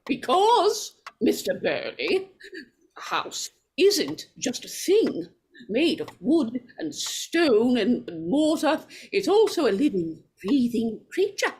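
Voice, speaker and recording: Actress as eccentric, obsessive woman for radio horror: high voice, halting slow delivery, big melodramatic swoops. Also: very animated, darkish tone, husky, exaggerated voice. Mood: ominous pleading. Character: strange, fretful, possessive woman with ominous intensity. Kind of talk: 1940s radio horror acting.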